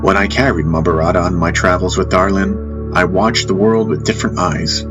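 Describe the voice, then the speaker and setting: A man's voice: low-pitched, fairly even intonation, fairly quick. A man narrating a first-person fantasy audiobook in a scripted, storytelling style. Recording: music under the voice. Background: background music.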